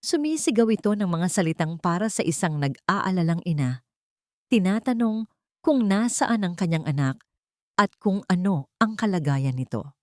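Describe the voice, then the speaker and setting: Low-pitched woman narrating a dramatic love story. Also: lively intonation, moderate pace, dry recording, clean studio sound.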